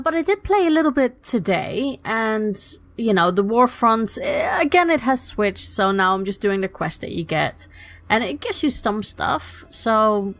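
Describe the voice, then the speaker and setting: A young woman chats her way through a casual solo podcast. Her voice is slightly husky from a lingering illness, and she rambles along with lots of ums and quick self-corrections. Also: mid-range voice, a bit bright. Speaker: podcast host.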